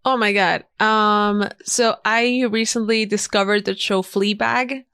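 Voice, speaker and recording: A woman's voice: mid-range voice, fairly even intonation, a little slow, a bit bright, clear, resonant tone, dry recording, clean studio sound. A woman whose first language is Spanish, a podcast guest chatting casually and eagerly recommending things with emphatic repeats. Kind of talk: casual interview chat. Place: podcast studio.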